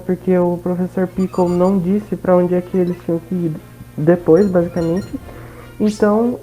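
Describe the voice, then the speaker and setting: A woman's voice: mid-range voice, dark tone, rough recording. A Brazilian woman giving casual let's play commentary on a video game. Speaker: gameplay commentator.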